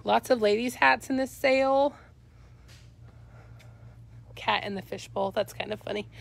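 A woman's voice: on the high side, a little slow, gravelly. A woman with a Midwestern accent giving an upbeat, chatty walkthrough of auction items for live viewers, filmed on a handheld phone in a cluttered warehouse. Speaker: auction company staff. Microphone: handheld phone camera.

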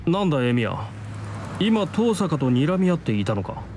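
A prim, moralizing male student. His voice sits a bit high and he speaks formally, like an old-fashioned scold in an anime game, with faint street ambience behind. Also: sing-song, moderate pace, noisy background. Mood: stern, composed. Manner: formal, admonishing, archaic turns of phrase. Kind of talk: Japanese visual novel acting.

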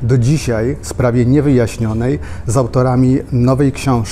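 A middle-aged man hosting, announcing a new season of events in a measured, deliberate way in a bookshop cafe. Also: mid-range voice, steady tone, warm tone, a little hiss or hum. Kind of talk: promotional announcement.

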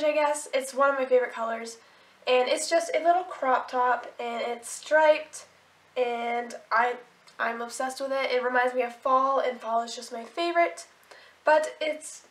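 A woman's voice: sits high, fairly bright tone, reverberant. A teenage girl with a sore throat and stuffy nose chatting casually to camera about clothes, bubbly and full of likes and restarts. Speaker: vlogger. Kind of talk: casual haul video chat.

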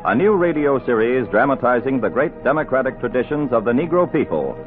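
Formal male radio announcer, animated delivery. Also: medium pitch, a little fast, music in the background. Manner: formal, declamatory. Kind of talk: 1940s radio announcing, scripted introduction. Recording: old narrow-band 1940s radio recording.

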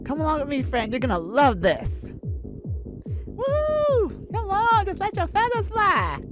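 A woman playing an outgoing, playful, sassy young best friend in an audio roleplay, theatrical and teasing, with drawn-out words. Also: extremely high pitch, huge pitch swings, over music, full character voice. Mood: excited. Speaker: ASMR roleplay voice actor. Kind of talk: ASMR audio roleplay, addressed to a listener.

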